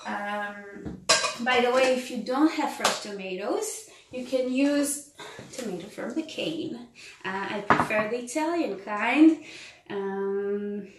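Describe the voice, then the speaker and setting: Slow-talking Israeli woman cooking live. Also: mid-range voice, roomy sound, very noisy. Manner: chatty, reads and answers viewer comments, frequent ums. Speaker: tour educator hosting a live cooking stream. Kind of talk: casual live cooking demo. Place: home kitchen.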